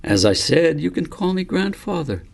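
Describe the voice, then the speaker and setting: Calm wise old grandfather voiced by a male reader, creaky. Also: a little high, pitched above the performer's own voice, heavily acted. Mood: patient, amused. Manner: measured, philosophical, explaining patiently.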